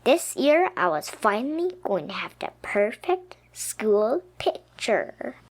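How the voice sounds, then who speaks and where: A woman reading a children's picture book aloud expressively, with dramatic, emphatic pauses. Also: a little high, sing-song. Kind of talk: expressive children's storybook narration. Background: music.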